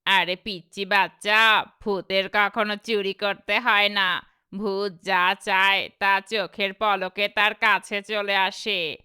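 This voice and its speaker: A woman's voice: medium pitch, level tone, slow pace, bright, forceful tone, clear, resonant tone, no echo, studio quality. A woman with a Bangladeshi accent voicing a silly, boastful, chatty ghost in a cartoon. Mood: matter-of-fact. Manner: boastful, chatty, simple-minded. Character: silly, boastful, friendly ghost, not very scary. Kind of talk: cartoon character dialogue.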